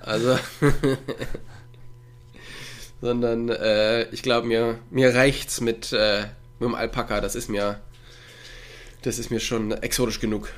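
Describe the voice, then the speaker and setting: A man's voice: medium pitch, flat delivery, slow pace, long pauses. A man co-hosting a casual chat from home on a remote call. He rambles with lots of fillers and breaks into frequent chuckles. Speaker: podcast co-host. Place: at home, with a dog nearby.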